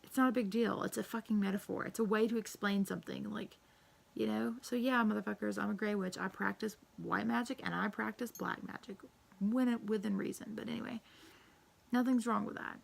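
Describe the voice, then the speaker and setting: A woman losing her voice, croaky, chatting casually and rambling with lots of fillers and swearing. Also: mid-range voice, fairly even intonation, fairly quick. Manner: profane, rambling, frequent fillers. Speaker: witchcraft vlogger. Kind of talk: casual tag-video chat.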